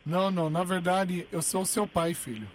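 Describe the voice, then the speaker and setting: A man's voice: high-pitched, steady tone, normal speed, a little hiss or hum. A man, hosting a radio show, teasing and provoking a stranger in a prank call. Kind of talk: prank phone call and studio chat.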